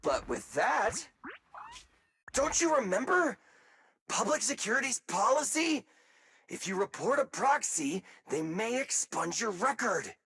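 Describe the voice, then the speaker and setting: A man playing an excitable, goofy, loyal android sidekick in a game cutscene, exclaiming and whining dramatically, with noise behind him. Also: sits high, a little slow, vocal fry, slightly rough, no room sound, full character voice. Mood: earnest. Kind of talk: animated game cutscene acting.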